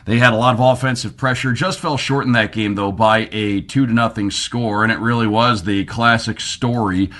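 A man's voice: on the low side, fairly even intonation, moderate pace, hardly any pauses, a bit bright. Chatty man hosting a sports radio show. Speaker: sports radio show host and team broadcaster.

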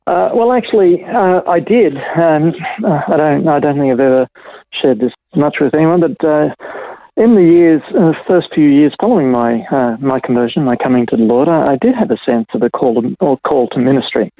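A man's voice: a little high, normal speed, no echo. A middle-aged Australian man, a pastor, talking in a casual interview, hesitant and full of fillers, over a tinny phone line. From Brisbane, Australia. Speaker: pastor and guest.

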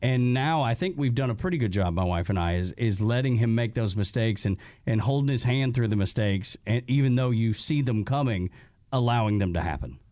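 A man's voice: mid-range voice, animated, fairly quick, mellow, no echo. A podcast host in his forties chatting casually and enthusiastically, rambling and repeating words as he searches for them. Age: 40s. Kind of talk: casual chat.

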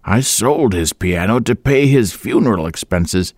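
A man voicing a deaf, sly old woman landlady who speaks evasively in a high, wavering voice. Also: lively intonation, a little slow, a little mellow, dry recording, studio quality.

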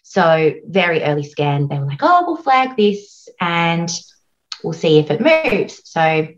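An Australian woman in her thirties talks openly and chattily, full of "like" and "um". Her voice sits fairly low, and the recording sounds a bit dull and lo-fi. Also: sing-song, takes it slowly. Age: 30s. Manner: warm, chatty, frequent "like" and "um". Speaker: guest, postpartum doula. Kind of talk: casual interview storytelling.

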